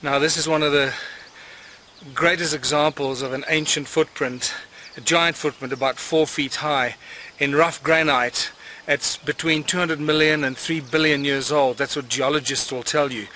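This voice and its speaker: A man's voice: on the high side, steady tone, normal speed, pushed and bright, a little hiss or hum. A man presenting an informal outdoor guided tour in an enthusiastic, marvelling manner. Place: outdoors at a rocky outcrop. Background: music.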